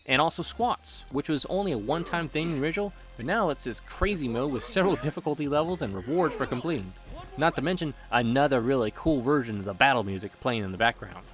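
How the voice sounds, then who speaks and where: A man's voice: medium pitch, animated, fast talker. A man narrating a scripted video game review with playful, joking asides. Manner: playful, jokey. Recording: background music under voice. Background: music.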